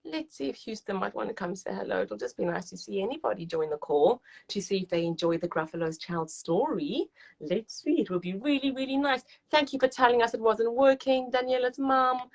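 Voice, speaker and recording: A woman's voice: fairly high pitch, brisk, creaky. A woman hosting a live story time, talking to young children in an encouraging, gushing, sing-song way. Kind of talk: live read-aloud and chat addressed to young children.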